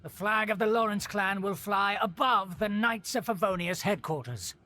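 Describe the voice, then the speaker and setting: A man's voice: high voice, normal speed, sharp tone. An actor playing a haughty middle-aged nobleman in a video game, a scheming uncle with a pompous, formal, theatrical manner. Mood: smug, grandiose. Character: haughty aristocratic uncle scheming to restore his clan's rule.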